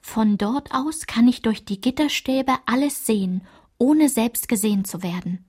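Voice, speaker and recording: A woman playing a daughter soberly recounting her childhood in a radio play, measured and restrained, with a breathy, husky voice. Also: on the high side, a little mellow. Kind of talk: literary radio play narration.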